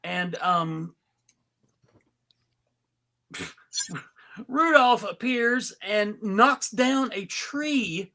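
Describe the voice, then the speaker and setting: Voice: medium pitch, expressive, drawn-out words, pauses often, sharp tone. A host narrating a casual live script reading and chatting with a wry, joking manner. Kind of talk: casual live table read with chat.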